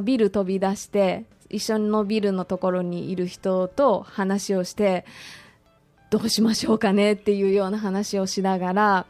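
A woman's voice: mid-range voice, darkish tone, no echo. A woman talking conversationally as a radio guest, politely and expressively sharing personal recollections.

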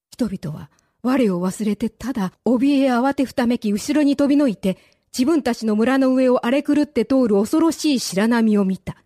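A woman's voice: fairly high pitch, warm tone, no echo, clean studio sound. A woman narrating a radio drama as a scripted, dramatic story.

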